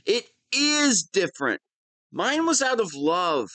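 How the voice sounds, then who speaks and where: Male actor as young wannabe supervillain, whiny, petulant, lovestruck, animated pitch, unhurried pace, dry close recording, audio roleplay. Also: dry recording, heavily acted. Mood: indignant, shocked. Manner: petulant, defensive, swinging between sheepish mumbling and indignant outbursts. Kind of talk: scripted audio roleplay monologue.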